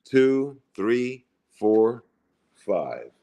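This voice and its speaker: A man's voice: mid-range voice, very animated, drawn-out words, gravelly, dark tone. A man in his forties, chatting casually on a livestream and rambling and repeating himself. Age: 40s. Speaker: livestream co-host.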